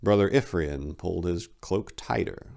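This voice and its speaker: A man with a deep voice works as host and narrator. His delivery is scripted, deliberate and theatrical, suited to dramatic story readings. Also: animated, vocal fry, darkish tone.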